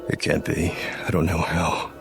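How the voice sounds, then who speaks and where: A man's voice: very deep voice, monotone, normal speed, vocal fry. An actor playing a guarded, polite young man with an American accent in a radio drama, speaking in a reserved, terse way. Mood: dark, entranced. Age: young adult. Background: background music.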